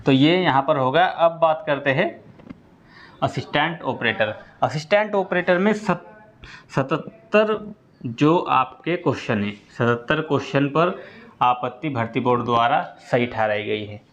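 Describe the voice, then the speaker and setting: A man's voice: medium pitch, lively intonation, unhurried, fairly bright tone. A man gives an informal explanatory update to students, going step by step and repeating key points. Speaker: exam-update presenter for students. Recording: dull lo-fi audio.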